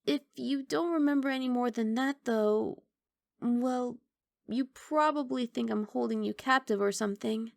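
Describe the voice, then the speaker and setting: A woman playing a young, sweet, apologetic giant dragon girl in an intimate ASMR roleplay, soft and coaxing with hesitant rambling. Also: on the high side, a little slow, creaky, no room sound. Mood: friendly explaining. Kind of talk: ASMR roleplay, intimate one-sided dialogue.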